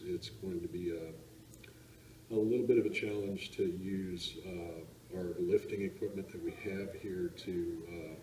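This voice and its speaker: A middle-aged man, a project supervisor, speaking in an interview with many fillers and pauses, recorded in a large warehouse. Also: deep voice, background noise. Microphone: camera mic at a distance. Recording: echoey warehouse, mic far off.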